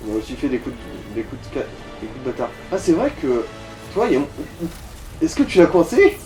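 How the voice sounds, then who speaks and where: A man's voice: mid-range voice, very animated, fairly quick, over music, low-quality recording. A man chatting casually and colloquially as he guides someone through a video game, with some swearing. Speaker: co-commentator guiding the player. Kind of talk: casual gaming chat.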